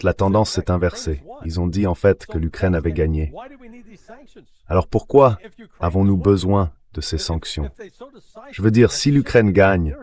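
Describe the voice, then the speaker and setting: Deep-voiced male political commentator. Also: a little mellow. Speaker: guest commentator. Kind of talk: political commentary, translated voice-over read by a synthetic voice.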